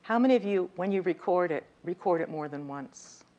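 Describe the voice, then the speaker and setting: A woman with a slightly high voice giving a lively lecture on communication, often turning to the audience with questions. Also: fairly quick, muffled recording. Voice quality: voice a little high, by her own account. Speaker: communication workshop speaker. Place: lecture room.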